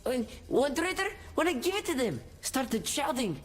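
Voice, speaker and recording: A woman's voice: high voice, very animated, moderate pace, slight background noise. A woman voicing an earnest, headstrong young boy in a video game, with a frank, childlike way of talking. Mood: indignant. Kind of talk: cinematic game dialogue acting.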